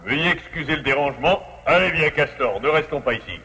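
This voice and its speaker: A wise father beaver from a cartoon. He is gentle and composed, and explains things in a measured voice. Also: on the high side, animated, slightly rough, exaggerated voice, poor audio. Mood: calm. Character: calm, wise adult male beaver father, gentle and learned. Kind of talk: French-dubbed cartoon acting.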